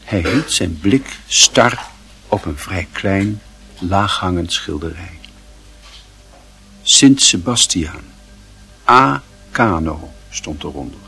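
A man narrating a literary radio play with measured, deliberate phrasing. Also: low voice, animated, creaky, sharp tone, breathy edge, soft background music, heavily acted. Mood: grave, intent. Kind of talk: scripted narration.

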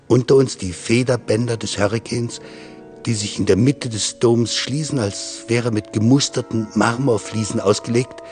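A man's voice: mid-range voice, darkish tone. A man giving a dramatic reading of literary prose as a narrator. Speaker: reader of literary texts.

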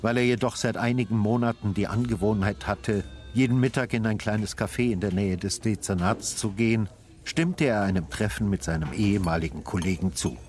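A male actor as a crime-story narrator with a low voice. He reads scripted literary prose in a measured, matter-of-fact way, with music clearly playing behind him. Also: darkish tone, natural voice.

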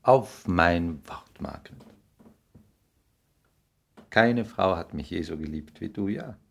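An actor playing a cynical, polished aristocratic lord in a radio play, condescending and deliberate. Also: male voice, low-pitched, lively intonation, gravelly, husky, exaggerated voice. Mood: nonchalant, cruel. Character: cynical aristocratic English lord, suave and self-regarding. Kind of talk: 1960s radio play acting.

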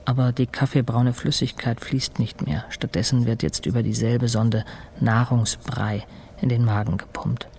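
An actor playing a weakened male patient, narrating his recovery in terse, measured fragments as a radio drama monologue. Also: medium pitch, fairly even intonation, creaky, a little mellow, slight background noise, close to an everyday voice. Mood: weary, sober. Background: music.

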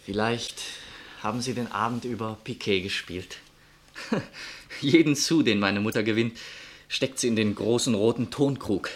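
An actor playing a homesick, generous young army assistant surgeon in a 1960s radio play, modest and wistful. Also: male voice, lowish voice, normal speed, close to an everyday voice. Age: young adult. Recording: 1960s radio drama recording.

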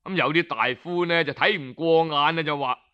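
A man telling historical tales in Cantonese in an expressive, colloquial traditional storytelling style. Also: fairly high pitch, normal speed, clear, resonant tone. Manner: expressive, colloquial, with rhetorical interjections. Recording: dull lo-fi audio.

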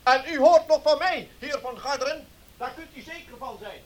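An officious notary in a radio drama. His voice sits very high for a man, and he speaks formally, with stern, pompous indignation. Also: normal speed, a bit bright. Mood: indignant, stern. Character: indignant, officious male village notary reading a will. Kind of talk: Dutch radio play acting.

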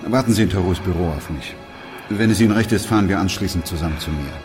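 An actor playing a weary, sober doctor and narrator in a German radio play, speaking in a measured, restrained way. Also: male voice, deep voice, over music, close to an everyday voice. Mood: businesslike. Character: weary, sober male doctor who narrates a plague chronicle.

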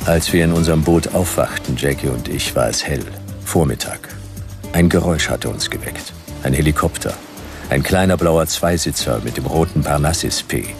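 A very deep, gravelly detective narrates a noir radio play. He is laconic and deadpan, heard a little distant from the microphone with faint noise behind him. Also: moderate pace, over music. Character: laconic, world-weary male private detective narrator.

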